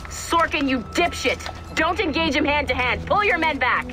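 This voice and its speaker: An actress playing a brash strike-team handler who gives orders in a snide, commanding way. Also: female voice, high-pitched, animated, normal speed. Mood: urgent. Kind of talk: scripted game dialogue, mission briefing. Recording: loud music behind the voice.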